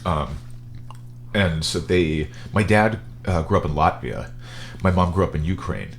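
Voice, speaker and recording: Man, 30s, quite low voice, easygoing, laughs a lot, slight background hum. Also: a little slow. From Rochester, New York, US. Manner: laid-back, laughs often, loose and rambling. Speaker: podcast guest. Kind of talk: casual chat.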